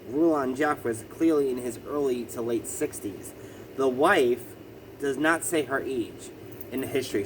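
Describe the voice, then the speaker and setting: A man's voice: medium pitch, noisy background. A man with a lisp talking through a casual explanatory vlog, hesitant and full of ums and self-corrections. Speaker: vlogger.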